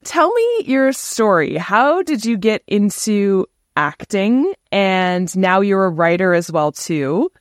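An upbeat woman hosts a casual interview podcast from her home studio, gushing freely about her guest. Her voice sits at an ordinary middle pitch, and she talks in a relaxed, natural way. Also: slow, few pauses. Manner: bubbly, effusive. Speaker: podcast host.

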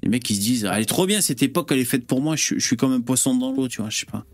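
A man's voice: mid-range voice, lively intonation, rapid-fire, no echo. Dry-sounding man in his forties. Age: 40s. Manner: musing, conversational, filler-heavy. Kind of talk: casual chat.

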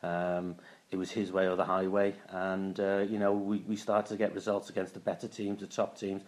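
Soft-spoken man with flat, low delivery. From Wales. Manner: halting, many restarts and fillers. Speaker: guest, former footballer. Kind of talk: casual interview chat.

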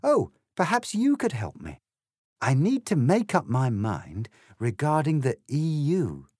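A man's voice: a little high, wildly swooping pitch, unhurried, a little mellow, no room sound, studio quality, pitched above the performer's own voice, heavily acted. A man doing a prim young Victorian girl. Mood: earnest. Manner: genteel, earnest and fretful.

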